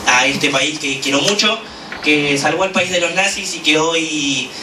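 A man with an Argentine accent giving an impassioned political speech at a gathering, full of "eh" pauses. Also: fairly high pitch. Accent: Argentine Spanish. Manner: fervent, with frequent "eh" fillers. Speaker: activist speaker at a political gathering. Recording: echoey room, from a distance, noisy.

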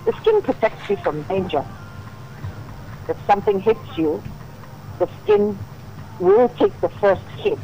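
A woman, a dermatologist, calling into a radio show and explaining calmly, with many "you know"s. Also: mid-range voice, unhurried, vocal fry, pauses often, low-quality recording. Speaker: dermatologist guest.

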